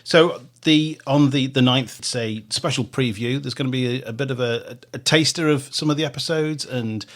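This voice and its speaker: A man's voice: medium pitch, moderate pace, hardly any pauses. A man hosting a casual interview on a radio podcast, chatty and full of fillers and repeated starts.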